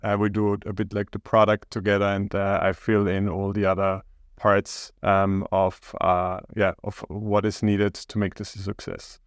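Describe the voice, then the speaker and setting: A man from Austria, a startup co-founder talking as a podcast guest in a hesitant, halting way. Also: fairly low pitch, completely flat delivery, smooth, dry recording, studio quality. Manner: hesitant, halting, many pauses. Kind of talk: casual interview talk.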